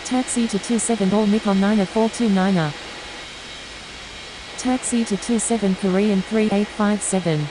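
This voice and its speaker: Woman playing female airline pilot, middle pitch, businesslike radio readbacks, flight game, noticeable hiss. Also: fairly even intonation, moderate pace, lightly acted. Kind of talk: scripted radio phraseology.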